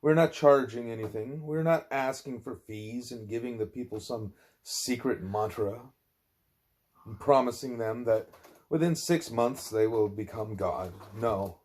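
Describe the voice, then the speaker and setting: A man with a measured, plain-spoken way of talking, reading aloud or speaking as a parent at home. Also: mid-range voice, darkish tone. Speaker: reader, parent putting a child to bed. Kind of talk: reading a religious text aloud, with quiet parental chat.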